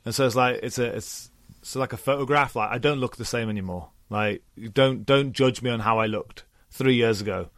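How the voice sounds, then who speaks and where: A man's voice: mid-range voice, a little fast, a bit bright, no echo. A man in his thirties hosting a podcast, chatting casually in a self-deprecating way, with frequent laughs and offhand swearing. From Britain. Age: 30s. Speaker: podcast host. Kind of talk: casual chat.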